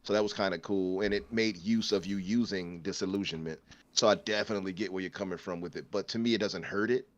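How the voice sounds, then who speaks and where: A man's voice: low voice, steady tone, fast talker, dull audio. A man guest on a gaming podcast, agreeable and hesitant, stumbling over words. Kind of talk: casual gaming podcast chat.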